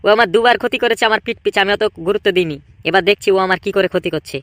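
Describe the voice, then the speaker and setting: A woman's voice: mid-range voice, rapid-fire, fairly bright tone, no echo, close to an everyday voice. A woman voicing an arrogant male gang boss in a comedy cartoon, swaggering and dismissive. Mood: defiant. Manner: swaggering, challenging.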